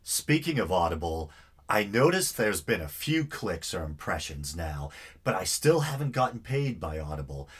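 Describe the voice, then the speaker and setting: A man's voice: sits low, sing-song, moderate pace, husky. A man hosting his own podcast in a casual, rambling, easygoing monologue, his voice stuffy and nasal. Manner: rambling, self-deprecating, easygoing. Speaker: podcast host.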